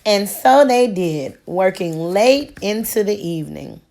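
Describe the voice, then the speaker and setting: A woman reading a children's picture book aloud with deliberate, expressive storytelling, like a teacher to a class. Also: medium pitch, vocal fry.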